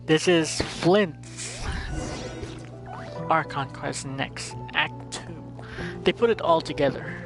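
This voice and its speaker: Guy streaming a game, talks slow and casual, music in the back. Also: on the high side. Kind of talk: casual live gameplay commentary.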